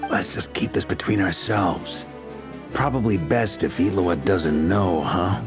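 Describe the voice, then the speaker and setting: A man's voice: low voice, measured pace, music in the background. A man playing a genial, teasing, charismatic knight commander in a video game, with a jovial, theatrical manner. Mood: conspiratorial.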